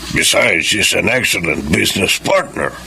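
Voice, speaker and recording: An actor playing a frail, wealthy old man in a Filipino radio drama, speaking in a halting, solemn way. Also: sits low, lively intonation, creaky, sharp tone, slight background noise, exaggerated voice, low-quality recording. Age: older. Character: frail, wealthy old man, grave estate owner and father.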